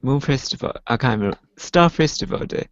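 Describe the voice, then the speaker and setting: Casting: male learner of English, gravelly and hesitant, short replies, lo-fi online call sound. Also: medium pitch, lively intonation, a little fast, no echo. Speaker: English student. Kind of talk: hesitant short answers in a lesson.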